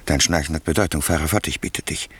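A prince who doubts and probes before joining a plot, in a classic radio drama. The voice is low, very rough and raspy, with a bright, tense edge. His delivery is wry and theatrical. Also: brisk, full character voice. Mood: wry, curious. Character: idle royal brother, doubtful, then greedy conspirator. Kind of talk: classic German radio play acting, verse drama.

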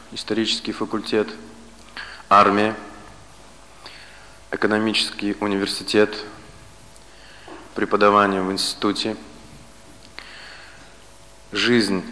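A man in his late thirties giving a philosophy lecture, speaking in a measured way with pauses and restarts. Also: on the low side, pushed and bright, long reverb tail, slight background noise, rough recording. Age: 30s.

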